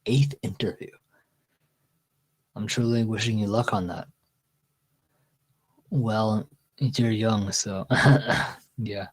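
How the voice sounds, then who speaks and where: A Texan-accented man hosting a relaxed livestream chat, talking in a somewhat low chest voice. He reads viewers' comments aloud and wanders through his answers with easygoing restarts. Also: takes it slowly, pauses often, slightly rough. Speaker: livestream host.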